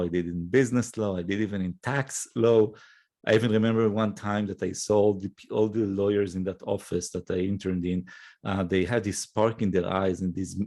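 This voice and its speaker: Israeli guy in his 40s or so, lowish voice, thick israeli accent, lots of uhs and restarts while he talks. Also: a little mellow. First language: Hebrew. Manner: halting, many fillers and restarts. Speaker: guest, nonprofit CEO. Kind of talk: conversational interview.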